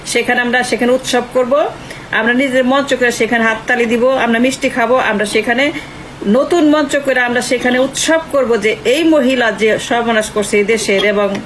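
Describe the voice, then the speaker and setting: A woman's voice: fairly high pitch, normal speed. An older woman with a Bangladeshi accent talks earnestly and emphatically on a phone call, repeating herself and dropping in English phrases. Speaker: writer and activist on a phone call. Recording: noisy phone call recording.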